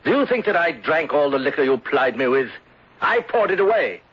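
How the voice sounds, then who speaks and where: Vengeful accuser, radio drama: husky, bitter, deliberate and theatrical, muffled old recording. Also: male voice, a little high, big pitch swings. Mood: scornful, triumphant. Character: vengeful bereaved man unmasking his beloved's murderer, accusing and bitter. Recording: dull lo-fi vintage radio recording.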